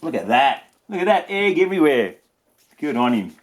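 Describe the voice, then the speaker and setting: A man's voice: fairly high pitch, sing-song, moderate pace. A man reading a children's storybook aloud in a playful way, with chatty asides. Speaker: storybook reader. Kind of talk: animated children's read-aloud.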